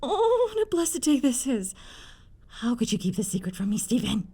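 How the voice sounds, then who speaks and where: A woman acts out a doting middle-aged mom in an audio drama. Her voice is mellow but swoops widely, effusive and fussing, always fiercely protective of her boy. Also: high voice, very animated, normal speed, husky, heavily acted. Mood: delighted. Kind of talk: audio roleplay voice acting.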